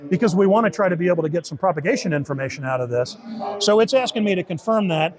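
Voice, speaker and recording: Man, slightly high pitch, informal demo talk, step-by-step explaining. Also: expressive, quick pace. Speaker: beta test supervisor giving a product demo.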